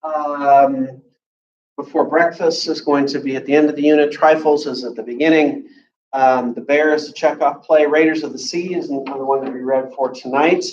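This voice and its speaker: A man's voice: on the high side, fairly even intonation, normal speed, a little mellow. Echoey, distant American man teaching class. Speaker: college English instructor. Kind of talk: informal classroom explanation of an assignment. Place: classroom with students. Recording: echoey room, distant muffled mic.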